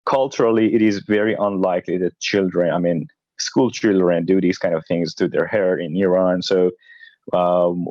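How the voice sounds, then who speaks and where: A very low-pitched man explains carefully on a video call, pausing with many fillers. His dry, dull-sounding audio has no room echo. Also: darkish tone. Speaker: researcher on a panel.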